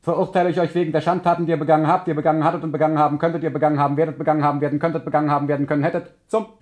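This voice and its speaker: An actor playing a stern, rigid judge who speaks in pompous formulas in an experimental radio play. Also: male voice, fairly high pitch, level tone, very fast, a little mellow, close to an everyday voice. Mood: accusing, commanding.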